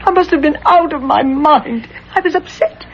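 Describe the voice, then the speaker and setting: An actress playing an anxious, guilt-ridden adulterous wife, fretful and pleading, in 1970s radio drama style. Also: female voice, high voice, normal speed, natural voice. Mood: panicked, accusing. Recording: dull, noisy old radio recording.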